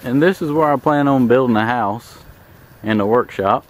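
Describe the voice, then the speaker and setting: A man giving a relaxed, plain-spoken tour of his land, walking and then driving. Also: medium pitch, normal speed. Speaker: landowner showing his farm. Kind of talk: casual walk-and-drive tour narration. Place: outdoors in fields, then inside a moving pickup truck. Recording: clear background noise of truck engine and outdoors.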